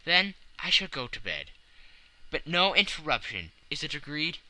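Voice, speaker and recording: A man voicing a weary, starving Victorian gentleman time traveler in an audiobook, emphatic and earnest. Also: on the high side, big pitch swings, normal speed, full character voice, low-quality recording. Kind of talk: audiobook character voice.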